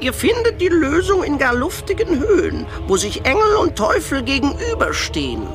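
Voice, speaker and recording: An actress playing a kindly, wise, ancient female turtle in a children's fantasy radio play, speaking in a slow, oracular way. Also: sits high, very animated, over music. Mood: solemn, urging. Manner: slow, oracular, drawn-out delivery.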